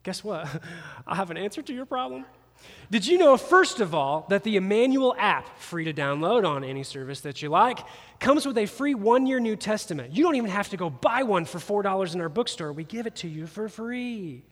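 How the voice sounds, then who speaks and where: A man preaching a sermon as campus pastor, animated and conversational, with playful jokes aimed at the congregation. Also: high-pitched, moderate pace. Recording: long reverb of a large hall.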